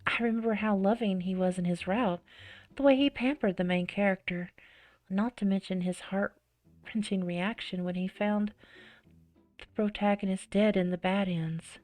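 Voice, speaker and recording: A woman narrating in first person as a flustered, anxious high schooler in a visual novel. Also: normal speed, darkish tone, dry recording, close to an everyday voice. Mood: torn, anxious.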